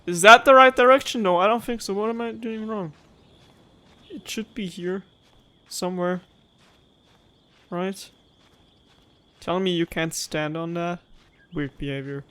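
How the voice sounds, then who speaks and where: A man's voice: high voice, measured pace, long pauses, bright, forceful tone, smooth. A man giving casual let's-play commentary, musing aloud and rambling with playful complaints. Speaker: gaming streamer. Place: own room at home. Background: game music.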